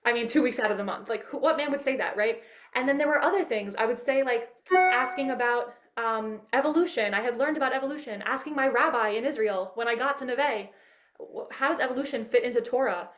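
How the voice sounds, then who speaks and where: A woman's voice: a little high, a little fast, fairly bright tone. A woman from Manhattan, a guest in an interview, telling her story in an eager, rambling way with lots of fillers and restarts. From Manhattan, New York. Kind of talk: casual personal storytelling. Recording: roomy echo.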